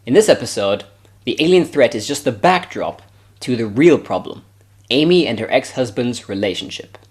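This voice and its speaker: A man performing a scripted comedy parody in a sarcastic, deadpan manner. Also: mid-range voice, moderate pace. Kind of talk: scripted comedy sketch voices and commentary.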